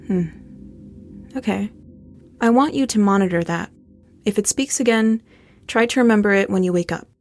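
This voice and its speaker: An actress playing a calm female therapist in an audio drama, measured and professional as she probes. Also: medium pitch, soft background music, lightly acted. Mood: calm, probing. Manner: professional, probing. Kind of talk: naturalistic audio drama acting.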